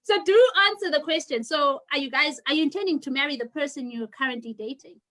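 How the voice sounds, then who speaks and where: Woman host, high bright voice, playful, calls everyone honey on a video call. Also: quick pace. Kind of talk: casual video-call chat.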